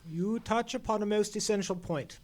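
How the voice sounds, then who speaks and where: A man playing a shrewd gentleman detective in a live radio play, speaking formally and precisely as he questions. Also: sits high, moderate pace, soft background music, lightly acted. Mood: keen, probing. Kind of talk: live old-time radio play acting.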